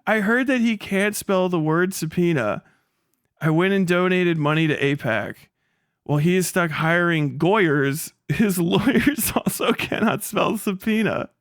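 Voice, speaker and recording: A man doing a casual livestream reaction in an animated, incredulous and profane way, breaking easily into laughter. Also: sits high, a little slow. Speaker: livestream host. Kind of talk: casual livestream reaction, reading messages aloud with profane commentary.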